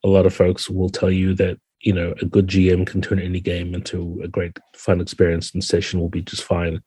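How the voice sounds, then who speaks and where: A middle-aged man with a New Zealand accent, talking reflectively and explaining things in a relaxed interview. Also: deep voice, husky, no echo. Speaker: podcast guest, game designer.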